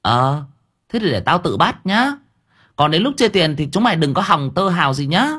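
A man's voice: medium pitch, big pitch swings, normal speed, breathy edge. A man voicing a bossy, foul-mouthed young woman exorcist who scolds shrilly. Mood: sarcastic.

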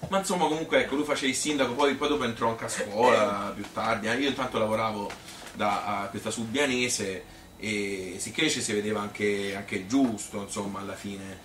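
In a roomy, distant and slightly noisy recording, a man plays a Tuscan mother looking back on married life in a theatrical monologue. The voice is on the low side, and the talk is colloquial, hesitant and wandering, often questioning itself. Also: a little fast, a bit bright, lightly acted. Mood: matter-of-fact, resigned. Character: plain-spoken Tuscan mother reminiscing about marriage and pregnancy.

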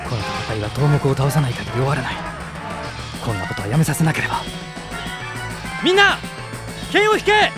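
Gentle but firm young prince, heartfelt n lively delivery, fantasy audio play w/ music. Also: male voice, medium pitch, a little fast, pauses often. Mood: commanding. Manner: earnest, formal, impassioned. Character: young warrior prince, earnest, noble, gentle but resolute. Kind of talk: Japanese fantasy radio drama acting. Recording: dramatic music behind the voice.

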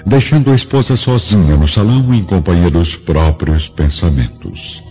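A man's voice: low-pitched, moderate pace, warm tone. A man narrating a radio drama from a script in a dramatic style. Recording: dull lo-fi audio with music behind.

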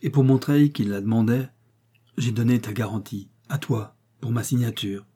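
Man voicing a ruined husband, desperate, guilty, agitated, husky. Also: mid-range voice, moderate pace, warm tone, lightly acted. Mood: despairing. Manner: agitated, despairing.

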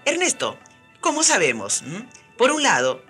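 A woman hosting a radio programme in a scripted, projected, animated presenting style. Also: a little high, a little slow. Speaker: radio host. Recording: music behind the voice. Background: music.

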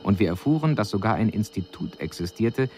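An actor playing a male alien emissary who reports on humans in a measured, formal, analytical way in a radio drama. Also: fairly low pitch, animated, a little mellow, a little hiss or hum. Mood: sober, analytical.

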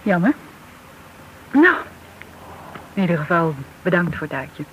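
A woman acting in a radio play as a businessman's confiding friend, tentative and at times soft-spoken. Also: lowish voice, sing-song, unhurried. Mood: light, friendly. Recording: dull, noisy old radio recording.

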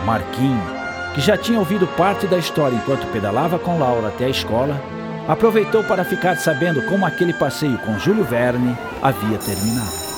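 A man's voice: medium pitch, sing-song, fairly quick. A man narrating a radio drama as a scripted storyteller. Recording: loud music under narration.

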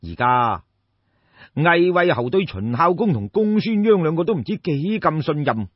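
A man's voice: a little high, sing-song, normal speed, breathy edge. A man with a Cantonese accent telling a story in a colloquial, exclamatory, theatrical audiobook style. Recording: dull, roomy lo-fi recording.

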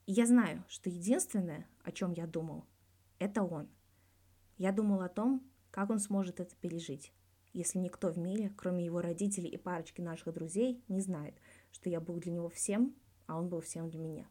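A woman's voice: medium pitch, mellow, close to an everyday voice. A woman voicing a frank, colloquial male hockey star answering in an audiobook interview. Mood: defiant, heartfelt. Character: blunt, reflective male Russian hockey star giving an interview.

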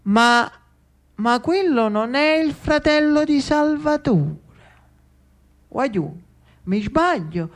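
Man acting high-voiced rowdy Neapolitan street ruffian. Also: animated, slow, pauses often, heavily acted. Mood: amused, mocking.

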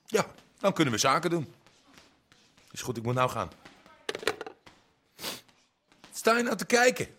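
Edgy criminal accomplice, bright voice. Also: male voice, fairly high pitch, very animated, a little slow, long pauses, slight background noise, exaggerated voice. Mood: irritated. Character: shifty, nervous male criminal accomplice, defensive. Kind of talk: naturalistic radio drama acting.

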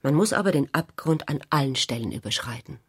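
A woman's voice: a bass voice, expressive, a little fast, husky, no echo, studio quality. A woman reading literary prose as a narrator in a radio drama.

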